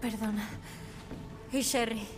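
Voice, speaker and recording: Game casting: young female survivor, anxious yet determined, urgent, fairly high voice, music behind. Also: fairly even intonation, slow. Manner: urgent, questioning. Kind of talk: dramatic video game acting.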